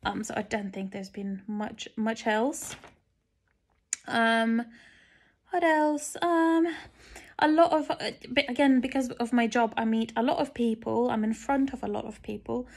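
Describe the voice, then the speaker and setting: A young woman with a Lithuanian accent talks in a loose, rambling way, full of ums, as she stitches. Her voice sits a little high with a gravelly fry at the ends of her phrases. She is recorded on a phone indoors at home. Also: fairly even intonation, measured pace. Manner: rambling, frequent ums, chatty and candid. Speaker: stitching vlogger. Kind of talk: casual chat while stitching. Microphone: phone camera looking down at the stitching, speaker off frame.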